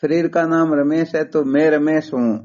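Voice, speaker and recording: A man with a fairly high voice teaches spiritual philosophy over the phone. He explains methodically and poses rhetorical questions to his listener. The recorded call sounds dull and lo-fi. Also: fairly even intonation, a little slow, a little mellow.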